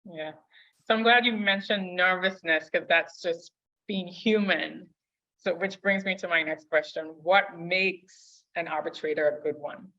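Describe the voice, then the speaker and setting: A woman's voice: fairly low pitch, measured pace, bright, forceful tone. A woman co-hosting an interview, responding to the guest and asking questions. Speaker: co-host, interviewer. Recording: dull lo-fi sound.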